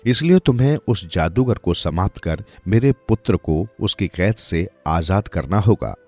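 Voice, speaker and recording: A man's voice: low voice, lively intonation, a little slow, a little mellow, faint music behind, full character voice. A man voicing a weary, poor old village woman with lost magic in a storybook tale. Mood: helpful, bargaining. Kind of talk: storybook character dialogue.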